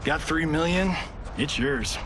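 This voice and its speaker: Cocky, wisecracking American action star. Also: male voice, a little high, slow, noisy background. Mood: cocky, teasing. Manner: flippant, slangy, boastful. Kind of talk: cinematic video game acting.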